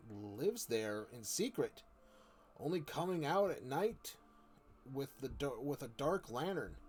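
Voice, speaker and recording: A man reading the lines of an imaginative, scornful schoolboy from a storybook, halting but emphatic. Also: mid-range voice, husky. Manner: halting, emphatic read-aloud dialogue.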